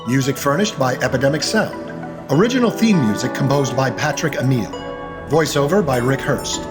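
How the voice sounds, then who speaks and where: A man's voice: medium pitch, animated, fairly quick. A man giving a scripted, polished voice-over for an audio soap opera, as its dramatic announcer. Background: music.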